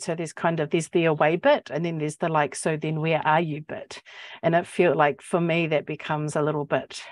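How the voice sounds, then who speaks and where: Low-pitched New Zealand woman, thoughtful. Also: no room sound. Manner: thoughtful, halting, searching for words. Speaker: poet and academic. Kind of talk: reflective panel talk. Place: book-lined office, indoors. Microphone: earphone mic on video call.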